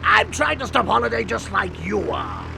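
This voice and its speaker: An actor playing a manic, cruel male clown villain in a motion comic with a gravelly voice. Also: high-pitched, wildly swooping pitch, moderate pace, noisy background, exaggerated voice. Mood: mocking. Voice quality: gravelly, wildly swooping. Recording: with loud music score.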